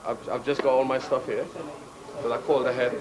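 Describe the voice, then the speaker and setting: A man casually and briskly showing off a busy record shop. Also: medium pitch, level tone, noisy background. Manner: brisk, showing things around. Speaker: carnival visitor. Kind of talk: casual chat. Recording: loud crowd behind.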